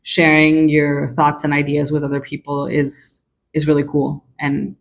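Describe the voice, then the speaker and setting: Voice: mid-range voice, monotone, a little slow. A young adult who grew up in China, giving a casual, earnest book review on video with frequent hedges and restarts.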